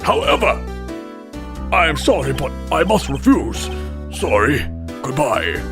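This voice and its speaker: A man's voice: mid-range voice, sing-song, unhurried, music in the background. A man voicing a pompous, blustering older male lawyer in a video game fan dub, theatrical, evasive and old-fashioned in his phrasing. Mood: flustered, evasive.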